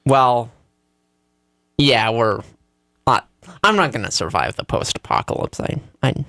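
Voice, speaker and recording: A man co-hosting a casual talk show, with dry, self-deprecating humor and halting, repetitive phrasing. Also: mid-range voice, animated, bright, forceful tone, no room sound. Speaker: radio show co-host. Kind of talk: casual banter and commentary.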